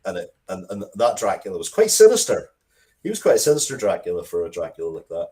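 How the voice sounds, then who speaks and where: Casual male interviewee, low register, halting and reflective delivery. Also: huge pitch swings, dark tone. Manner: halting, thoughtful, repeats words as he goes. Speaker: interview guest, writer of Dracula comics and media.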